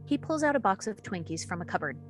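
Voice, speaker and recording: A woman's voice: mid-range voice, very animated, fast talker, soft background music. A woman reading stage directions as the narrator of a screenplay table read.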